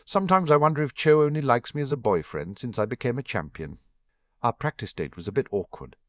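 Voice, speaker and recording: A man voicing a friendly, easygoing teenage boy in a relaxed, conversational way. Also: medium pitch, brisk, dry recording, voice pushed up, natural voice. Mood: rueful. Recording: dull lo-fi dry recording.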